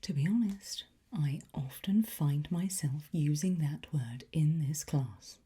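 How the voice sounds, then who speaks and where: Very slow, breathy whispering woman. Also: low-pitched. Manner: gentle, unhurried, encouraging. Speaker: ASMR host playing a language teacher. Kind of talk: whispered ASMR teacher roleplay.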